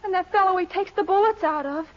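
An actress playing a frantic, melodramatic sweetheart in a 1940s radio comedy, overwrought and dramatic. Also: female voice, very high-pitched, quick pace. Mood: distraught. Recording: noisy, dull old radio recording.

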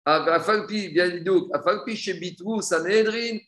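High-voiced man teaching in an echoey room. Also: moderate pace, a bit bright. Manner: didactic, asks and answers own questions, repeats phrases. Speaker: religious teacher giving a Talmud class. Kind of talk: explanatory Talmud lesson, reading a text and translating it.

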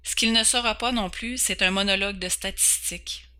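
A woman's voice: mid-range voice, fairly even intonation, fairly quick, bright, forceful tone, clear, resonant tone. A woman in her forties from Quebec with a Québécois French accent, hosting a podcast and introducing herself in an earnest, candid way, stumbling over words now and then. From Quebec, Canada. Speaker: podcast host. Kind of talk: personal introduction.

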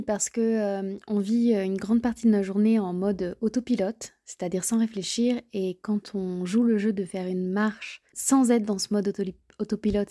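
A woman's voice: mid-range voice, warm tone. A woman hosting a podcast, interviewing a guest and explaining things fluently in a chatty way, restarting now and then as she translates on the fly. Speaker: podcast host.

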